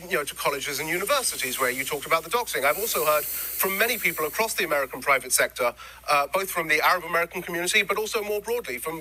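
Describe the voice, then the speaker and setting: A man answering questions in a news interview, explaining policy in measured detail with frequent "uh" fillers. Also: fairly high pitch, sharp tone, a little hiss or hum, poor audio. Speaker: interview guest, former government official.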